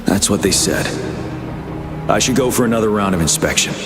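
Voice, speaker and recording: Stern game general, played by a man, lowish voice, grave and measured, music underneath. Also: animated, natural voice. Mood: pensive. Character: stern, composed male army general. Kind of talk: video game voice acting.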